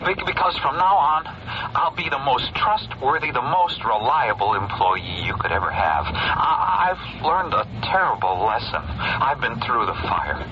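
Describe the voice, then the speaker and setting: An actor playing a desperate, guilty family man who pleads haltingly and urgently in an old-time radio drama. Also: mid-range voice, sing-song. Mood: desperate pleading. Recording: muffled, noisy old radio recording.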